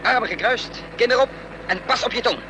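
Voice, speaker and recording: An actor playing a bold, quick-witted fugitive in a Dutch radio play, urgent and commanding. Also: male voice, extremely high pitch. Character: bold hunted fugitive without memory, quick-witted man of action. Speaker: lead actor and first-person narrator. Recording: noisy radio play recording with vehicle sounds.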